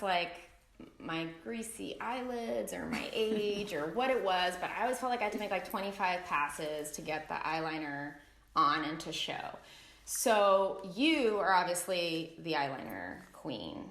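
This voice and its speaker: A middle-aged woman hosting a casual interview, chatty and playful with quick asides. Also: mid-range voice, animated, fairly bright tone. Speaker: brand founder and host. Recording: echoey room.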